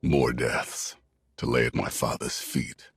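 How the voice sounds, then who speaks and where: Super deep raspy mournful warrior, game drama, slow and heavy with regret. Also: male voice, little pitch movement, no room sound, exaggerated voice. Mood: sorrowful.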